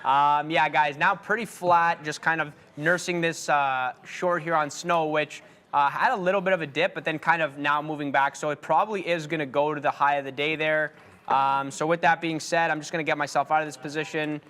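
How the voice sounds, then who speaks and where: A laid-back man talking through his day trades live, rambling loosely with plenty of fillers. His voice is a bit higher than most men's. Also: fairly even intonation, a little fast, sharp tone. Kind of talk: live trading commentary.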